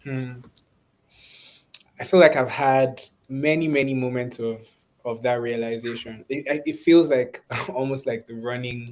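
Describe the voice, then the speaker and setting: A Nigerian man speaking reflectively and conversationally in an online webinar, often pausing and restarting as he searches for words. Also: medium pitch, steady tone, darkish tone. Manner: thoughtful, halting, searching for words. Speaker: webinar speaker, course instructor.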